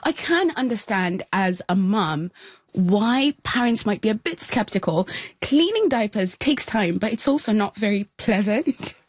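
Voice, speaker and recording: A woman's voice: mid-range voice, expressive, measured pace, husky, dry recording. A woman with a Kenyan accent presenting a programme, moving between scripted links and studio conversation. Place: radio studio.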